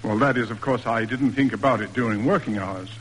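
An actor playing a stiff, proper middle-aged father in a classic radio drama, speaking in measured, roundabout formal sentences. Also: lowish voice, a little hiss or hum, natural voice. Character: stiff, proper, reserved father in period New England. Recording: old radio recording, reverberant, music behind.

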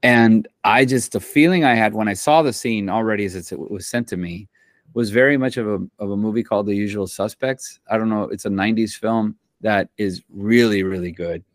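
A man's voice: lowish voice, brisk, no echo, studio quality. A man who composes for film and television, talking in a loose, rambling way, full of slang and restarts, in a casual interview. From Central America.

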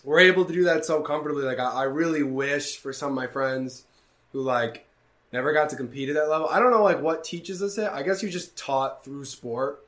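A man's voice: on the high side, a little fast. Young man with a raised voice, casual storyteller. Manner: animated storytelling, swearing, frequent "like". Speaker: podcast host. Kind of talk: casual chat.

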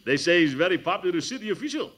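A man's voice: high-pitched, big pitch swings, very fast, a bit bright, voice pushed up, full character voice. A man doing a put-on foreign dialect as a fake immigrant gardener speaking broken English, in 1940s radio comedy. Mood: bluffing.

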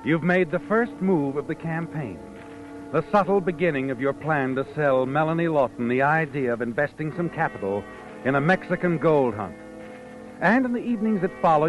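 A man's voice: fairly high pitch, natural voice. A man playing a sly, all-knowing narrator in 1940s radio suspense style, needling a schemer in the second person with knowing questions. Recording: muffled old radio broadcast, music behind.

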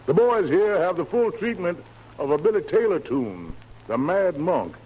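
A man's voice: high voice, big pitch swings, moderate pace, breathy edge, dull audio. A man emceeing a 1940s radio music show in slangy, theatrical hepcat jive talk, on a vintage broadcast recording. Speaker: radio show host, master of ceremonies.

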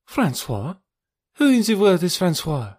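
A man's voice: sits high, huge pitch swings, a little slow, a little mellow, no room sound, clean studio sound, pitched above the performer's own voice, full character voice. A man voicing an alarmed, passionate young French countess in dramatic audiobook dialogue. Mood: startled, indignant.

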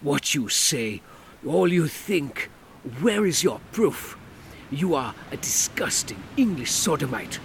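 A man's voice: mid-range voice, animated, measured pace, gravelly, fairly bright tone, slight background noise. A man voicing a middle-aged Creole military veteran, loyal and violent, who spits menacing, contemptuous threats. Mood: furious.

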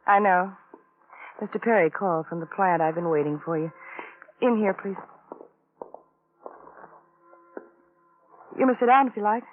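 An actress playing a weary, emotionally numb widow in an old-time radio drama, detached and halting in her phrasing. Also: mid-range voice, a little hiss or hum, close to an everyday voice. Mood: guarded. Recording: tinny, narrow-band vintage radio recording.

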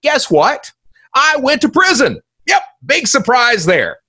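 A middle-aged man hosts a solo podcast in a husky voice that swings widely in pitch. He talks informally and emphatically, with a folksy, theatrical streak and plenty of rhetorical questions. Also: wildly swooping pitch, slow pace, sharp tone. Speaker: podcast host.

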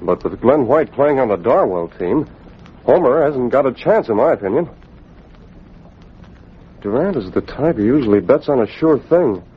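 Old radio drama, muffled sound, shrewd male boss, husky, expressive, authoritative. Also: mid-range voice, moderate pace, pauses often. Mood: thoughtful. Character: shrewd, composed businessman boss, authoritative and discreet. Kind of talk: 1940s radio drama acting. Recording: dull old radio recording.

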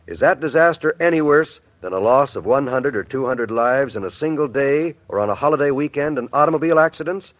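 A man's voice: medium pitch, dry recording. Earnest male radio announcer, widely varied intonation. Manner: earnest, deliberate, formal. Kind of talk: scripted public service announcement. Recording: dull, band-limited old radio recording.

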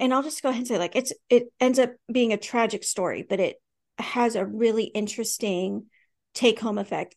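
A woman co-hosting a faith podcast in casual conversation, earnest and storytelling, with fillers and restarts. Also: a little high. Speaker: podcast co-host.

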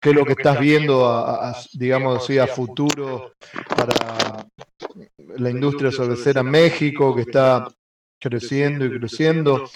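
A man's voice: medium pitch, fairly even intonation, moderate pace, a little hiss or hum. A man hosting a casual interview, asking loose, hesitant questions with jokes. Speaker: host of the interview channel. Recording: voice distant from the mic.

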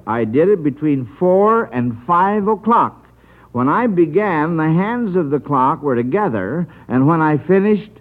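A man hosting a live mid-century radio quiz show, genial and hesitant in his phrasing, with encouraging asides. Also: a little high. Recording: old radio broadcast, narrow tinny sound.

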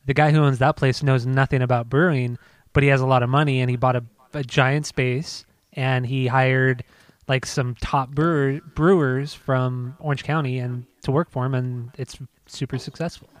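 A man's voice: medium pitch, fairly even intonation, normal speed, dry recording. A man co-hosting a podcast, chatting casually and swearing while joking with his friend. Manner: laid-back, swearing, joking banter. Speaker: podcast co-host. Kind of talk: casual chat.

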